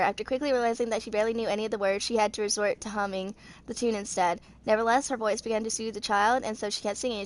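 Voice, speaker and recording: Young woman haltingly reading aloud. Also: medium pitch. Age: 20s. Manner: halting read-aloud with stumbles and misreadings. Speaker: amateur video creator reading game character lore. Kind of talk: reading story text aloud.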